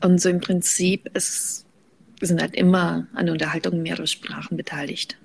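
Gravelly woman, native Russian speaker. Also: on the low side, level tone, normal speed, darkish tone. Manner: reflective, with frequent restarts and fillers. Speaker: guest author. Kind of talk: thoughtful conversational interview.

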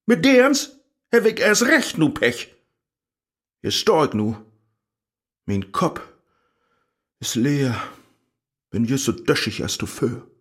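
Casting: self-pitying lovelorn scholar, theatrical Low German verse, unhurried and very animated delivery. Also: male voice, slightly rough, exaggerated voice. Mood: exasperated, lamenting. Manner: theatrical, declaiming. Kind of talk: rhymed radio play monologue in dialect.

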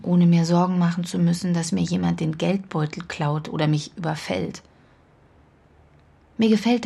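A fond watchful nanny, lowish creaky voice, musing, soft music behind, radio play. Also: female voice, full character voice. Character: affectionate, watchful family nanny from a Mexican village. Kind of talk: radio drama acting.

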